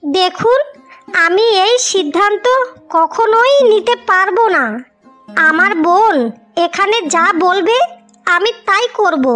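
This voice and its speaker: Casting: woman as selfless cartoon sister, extremely high girlish pitch, earnest and emphatic, music underneath. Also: bright, forceful tone, exaggerated voice. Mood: firm, guarded. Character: devoted, self-sacrificing sisters in a fairy tale. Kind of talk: cartoon fairy-tale voice acting.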